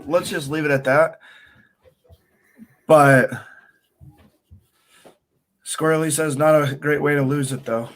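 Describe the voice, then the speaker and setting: A man's voice: on the high side, steady tone, slow pace, long pauses. A man co-hosting a casual livestream chat with teasing, light-hearted banter. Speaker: co-host.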